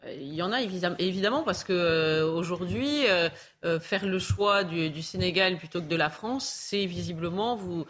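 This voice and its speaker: A woman giving halting, explanatory commentary as a panellist in a studio debate. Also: on the low side, fairly bright tone.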